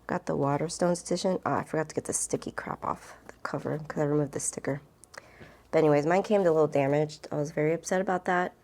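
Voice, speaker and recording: A woman's voice: deep voice, fairly even intonation, fast talker, a little mellow. A woman book vlogger chatting casually and rambling to camera, with an informal, gushing and emphatic way of talking.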